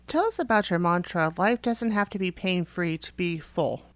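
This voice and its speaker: A woman's voice: fairly low pitch, normal speed, dry recording. A woman hosting a radio interview, reading out prepared questions.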